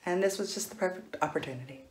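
A woman's voice: on the low side, quick pace. A young woman from Cork with an Irish accent, chatting bluntly to a phone camera in a casual vlog. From Ireland. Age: young adult. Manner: blunt, swears freely, chatty. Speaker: vlogger. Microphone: handheld phone camera. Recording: noisy crowded phone recording.

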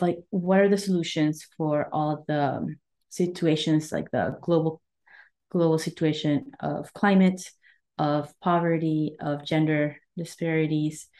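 A woman in her thirties from Mexico and Guatemala speaks as a podcast guest in a low, mellow voice. She talks earnestly and warmly. She often pauses with "um" while she finds the next word. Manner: warm, earnest, searching for words. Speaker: wellness coach, guest. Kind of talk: conversational interview.

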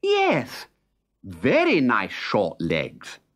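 A storyteller voicing a fussy king in a children's storybook, speaking high and drawn out. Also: huge pitch swings, very slow, full character voice. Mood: pleased. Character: fussy storybook king, stern then pleased.

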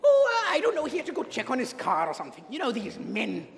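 A woman's voice: high-pitched, huge pitch swings, quick pace, gravelly, heavily acted. A woman playing a fussy, meddling older nanny in a stage comedy, with a muddled put-on British accent and a theatrical, doting manner. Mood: disapproving, meddling. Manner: theatrical, doting, calls people dear. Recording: echoey hall with music.

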